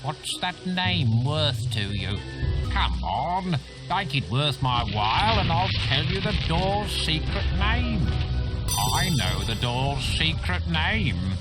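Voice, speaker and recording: Greedy sly game villager, wheedling, highish n lilting, music blasting behind. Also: male voice, very animated, slow pace. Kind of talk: video game character acting.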